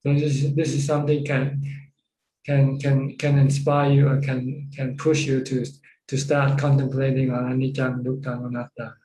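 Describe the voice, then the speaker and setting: A man with a Thai accent giving informal Buddhist teaching on a video call, repeating phrases in halting fashion. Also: medium pitch, monotone, dark tone. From Thailand. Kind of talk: informal Dhamma teaching with questions. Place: Zoom call. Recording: roomy, echoing video call.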